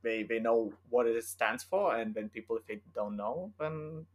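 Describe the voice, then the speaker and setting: Lithuanian-accented man, thoughtful podcast guest. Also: medium pitch. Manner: thoughtful, unhurried, with frequent fillers and restarts. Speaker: author guest. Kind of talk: casual podcast interview.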